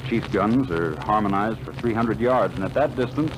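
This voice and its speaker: A man's voice: fairly low pitch, lively intonation, normal speed, noisy background. Dramatic old-time radio narrator, man. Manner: dramatic, announcer-like.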